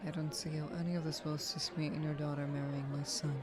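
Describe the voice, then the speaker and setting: A woman playing a dignified, protective queen mother in an audio roleplay, with a measured, formal, regal delivery. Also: deep voice, flat delivery, crowd noise, lightly acted. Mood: skeptical, stern.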